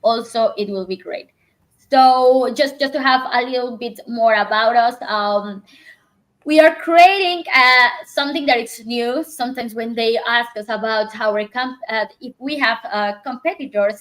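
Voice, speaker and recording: Host, female, fairly high voice, casual chat with restarts. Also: fairly bright tone, rough recording. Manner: halting, many restarts and fillers, laughs often. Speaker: livestream host.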